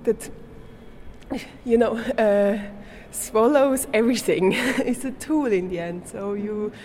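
A woman's voice: medium pitch, slow, darkish tone, slight background noise. A young woman from Switzerland, a native German speaker, answering interview questions in English, hesitant and searching for words, laughing often. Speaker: art student.

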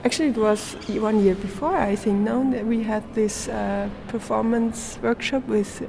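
A woman's voice: on the high side, steady tone, moderate pace, gravelly, background noise. A young woman, answering interview questions in English as a performance artist, searching for words with many fillers. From Switzerland.

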